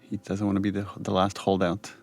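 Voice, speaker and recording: Low-pitched man giving casual commentary. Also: monotone, brisk, creaky, soft background music. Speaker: game director.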